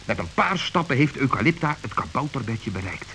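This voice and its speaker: A man narrating a children's radio play with lively, dramatic storytelling. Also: medium pitch, a little fast, creaky. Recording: hissy old radio recording.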